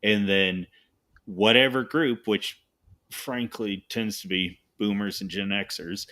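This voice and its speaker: A man's voice: lowish voice, steady tone, slow pace, sharp tone. A man hosting a podcast in casual conversation, giving emphatic, opinionated asides. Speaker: podcast host.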